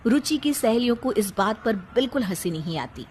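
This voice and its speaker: A woman's voice: fairly high pitch, animated, normal speed, background noise. A woman narrating a scripted cartoon family story in the role of storyteller.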